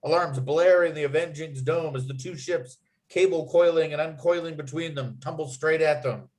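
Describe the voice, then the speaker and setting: A man's voice: a little high, moderate pace, darkish tone. Man narrating screenplay stage directions. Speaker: narrator and writer.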